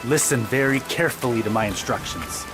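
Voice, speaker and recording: An actor playing a polite, self-assured military strategist who talks with hesitant fillers and wry courtesy. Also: male voice, mid-range voice, a little fast, lightly acted. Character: eccentric polite male strategist, confident. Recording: game audio with loud music.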